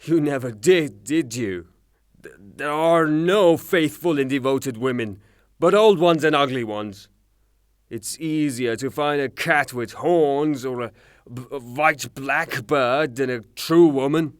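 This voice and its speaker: An actor playing a blunt, hot-tempered creditor in a theatrical audio drama, speaking in a mocking, brusque way. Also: male voice, mid-range voice, takes it slowly, no room sound, lightly acted. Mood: scornful, challenging.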